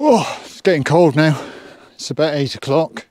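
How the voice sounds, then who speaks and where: A man's voice: a little high, moderate pace, background noise. Older Englishman chatting casually outdoors. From England. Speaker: outdoor vlogger. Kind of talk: casual chat to camera. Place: outdoors on open hilltop. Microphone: clip-on lapel mic.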